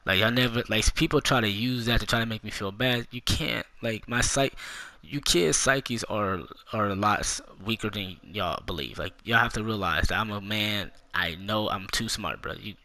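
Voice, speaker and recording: Forceful, profane man in his twenties. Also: on the low side, moderate pace, dry recording. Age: 20s. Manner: casual, profane, talks straight to young viewers. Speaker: gaming YouTuber. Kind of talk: casual storytime rant.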